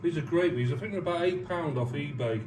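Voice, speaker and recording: A man's voice: on the high side, fast talker. An English man casually demonstrating something hands-on, explaining in a colloquial, matter-of-fact way. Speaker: restorer of vintage arcade machines presenting the work. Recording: roomy, distant mic with clear background noise.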